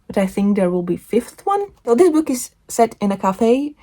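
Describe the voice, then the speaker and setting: A woman hosting a casual book chat to camera, with loose, halting phrasing and frequent self-corrections. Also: fairly high pitch, big pitch swings, dark tone. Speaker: book reviewer and video host.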